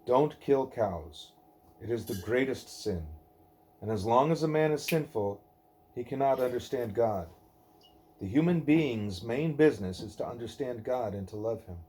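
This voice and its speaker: Male reader, mid-pitched and very mellow, deliberate scripted narration of a spiritual dialogue. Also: expressive. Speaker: reader of a devotional book; parent reading aloud at a child's bedtime.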